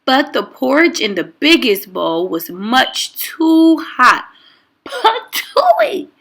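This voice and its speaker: Slow, animated woman reading a storybook. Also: a little high. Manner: deliberate, emphatic. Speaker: storytime reader. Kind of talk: expressive children's read-aloud narration.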